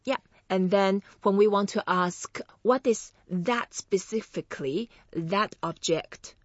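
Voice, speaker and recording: A woman hosting a podcast, teaching Chinese phrases slowly and patiently and repeating each phrase for her guest. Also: medium pitch, dry recording, muffled recording. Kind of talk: friendly language lesson.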